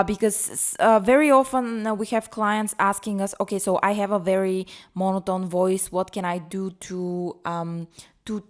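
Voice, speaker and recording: A woman co-hosting a podcast, explaining presentation tips in a careful conversational way. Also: medium pitch. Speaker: podcast co-host, presentation coach.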